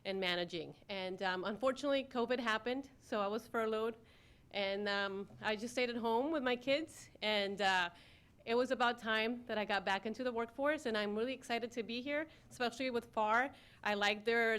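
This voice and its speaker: A woman's voice: fairly high pitch, normal speed, a bit bright, smooth. A middle-aged woman from Ecuador and Florida, giving a self-introduction speech at a public meeting. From born in Ecuador, raised in Florida. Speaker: newly hired city communications director, former newscaster. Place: city commission meeting room.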